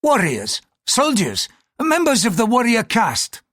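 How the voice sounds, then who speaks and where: An audiobook reader voicing an irritable, fanatical Portuguese priest who speaks in an impatient, accusing, forceful way. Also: mid-range voice, big pitch swings, unhurried, slightly rough, no room sound, clean studio sound, voice pushed up. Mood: irritated, stern.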